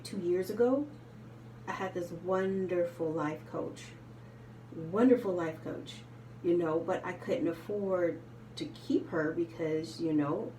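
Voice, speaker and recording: Soft-spoken woman, chatty, in a roomy space. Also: lowish voice, a little hiss or hum. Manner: chatty, rambling, with frequent ums and you knows. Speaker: vlogger. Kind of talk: casual chat while doing makeup. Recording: roomy, slightly distant home recording.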